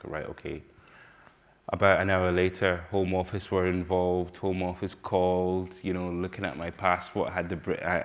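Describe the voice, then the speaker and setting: A middle-aged man from Scotland speaks with a broad Glaswegian accent. His voice sits very low, and he talks earnestly to a conference audience. He stops and restarts his sentences often. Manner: earnest, halting, many restarts. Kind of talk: personal storytelling talk.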